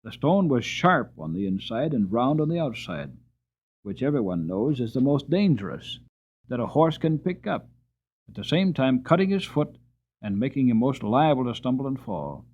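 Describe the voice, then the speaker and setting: A man's voice: medium pitch, breathy edge. A man reading a classic story aloud dramatically, stretching words for emphasis. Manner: expressive, drawn-out emphasis. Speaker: audiobook reader. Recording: tinny phone-like audio.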